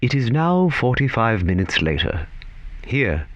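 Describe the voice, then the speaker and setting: An actor playing the narrator of a whimsical radio play, telling the story in a measured storybook way. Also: male voice, mid-range voice, sing-song, dry recording. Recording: dull sound with music underneath. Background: music.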